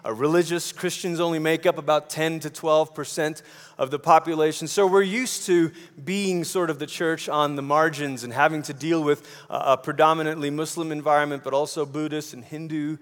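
Male preacher from Malaysia, high register, conversational sermon with repeated phrases, big echoing hall sound. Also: little pitch movement, moderate pace. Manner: conversational, rhetorical questions, repeats phrases for emphasis. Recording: long hall reverb.

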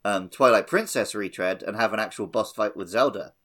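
Low-pitched man chatting casually. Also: a little fast, fairly bright tone. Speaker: podcast co-host. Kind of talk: casual gaming podcast chat.

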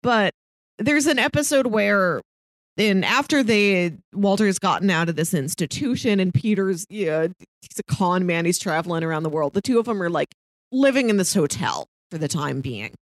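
Expressive woman with lively, swooping intonation. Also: mid-range voice, moderate pace, no room sound. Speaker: podcast co-host. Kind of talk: casual TV discussion podcast.

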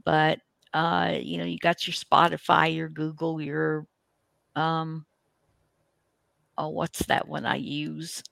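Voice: mid-range voice, completely flat delivery, slow pace, creaky, pauses often, fairly bright tone, no echo. A radio host casually introducing a show in a folksy, hesitant, rambling way.

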